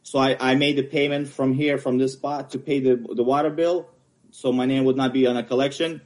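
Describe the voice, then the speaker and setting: A man from Ukraine with a Ukrainian accent, English not his first language, haltingly explaining his property appeal at a hearing. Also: medium pitch, steady tone. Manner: halting, searching for words. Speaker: homeowner appealing a property assessment.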